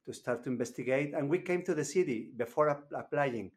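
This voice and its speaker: A man's voice: mid-range voice, brisk. A man, a graduate student guest, speaking hesitantly and often repeating words as he searches for phrasing. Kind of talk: conversational interview.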